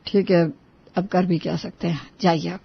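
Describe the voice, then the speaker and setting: A woman's voice: lowish voice, little pitch movement, moderate pace, creaky, husky, dull audio, pitched below the performer's own voice, full character voice. Woman playing a fussy, demanding husband. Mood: businesslike. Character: fussy, demanding husband, adult office worker. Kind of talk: cartoon family drama acting.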